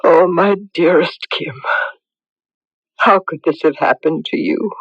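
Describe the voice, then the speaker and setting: An actress playing an anguished, tender middle-aged mother in a radio drama, halting and soothing. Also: lowish voice, husky, dry recording, full character voice. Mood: grieving. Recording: dull lo-fi old radio recording.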